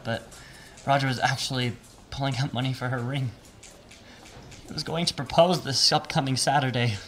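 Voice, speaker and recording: A man's voice: mid-range voice, fairly bright tone, slight background noise, close to an everyday voice. A man playing a grieving, shaken robbery survivor giving emotional testimony in an audio drama. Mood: sombre. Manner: halting, emotional testimony turning to storytelling. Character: shaken, grieving male bank robbery witness, prickly, raw. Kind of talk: audio drama acting, first-person narration.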